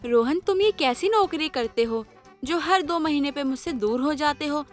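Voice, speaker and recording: A woman playing an outspoken, expressive young wife in a story drama. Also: sits high, normal speed, soft background music, lightly acted. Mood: complaining. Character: outspoken, feisty young wife quick to complain. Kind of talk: Hindi moral-story audio drama acting.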